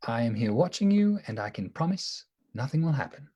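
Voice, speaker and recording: A man acting in a radio play as an earnest, anxious doctor devoted to the woman he loves, with a halting, emotionally open delivery. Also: mid-range voice, very animated, dark tone, breathy edge. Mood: tender, reassuring.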